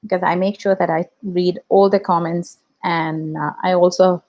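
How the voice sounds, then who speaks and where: A woman's voice: lowish voice, fairly even intonation, normal speed, darkish tone, lo-fi sound. A woman with an Indian English accent and Tamil as her first language, chatting reflectively on a live stream as a YouTube host, with fillers and restarts. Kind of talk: casual live-stream chat.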